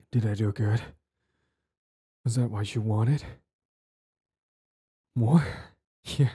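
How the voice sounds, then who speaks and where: A man's voice: fairly low pitch, mellow, husky, full character voice. A man playing a lovestruck, clingy young bully in intimate romance roleplay audio, stammering, sighing and pleading. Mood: tender, breathless.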